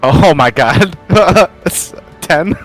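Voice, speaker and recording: A man chatting casually and excitably as a player in a tabletop game, slangy and profane. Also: a little high, extremely slow, faint music behind.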